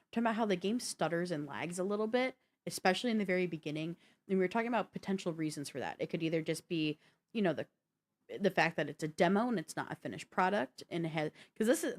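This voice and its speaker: A woman with a slightly low voice co-hosts a gaming show. She chats casually and works through explanations in a rambling way, often stepping aside to add detail. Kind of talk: casual explanatory chat about video games.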